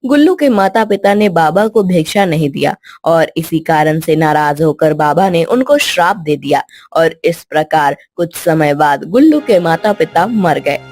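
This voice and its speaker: A woman's voice: deep voice, expressive, a little slow, few pauses, a little mellow, no room sound, faint music behind. Woman narrating a scripted children's tale. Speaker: storyteller.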